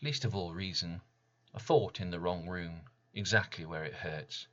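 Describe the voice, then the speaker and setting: A man giving a dramatic reading of his own poem in solemn, deliberate short phrases, with slightly muffled sound. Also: low voice, fairly bright tone. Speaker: poet reading own work. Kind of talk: dramatic poetry recital.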